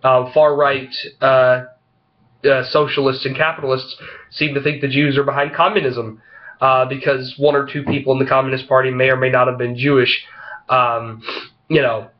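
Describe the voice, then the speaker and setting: A man with a head cold and a slightly froggy voice, vlogging casually to camera in a halting, rambling way full of fillers. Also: mid-range voice, flat delivery, dull audio. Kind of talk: casual opinion vlog talking to camera.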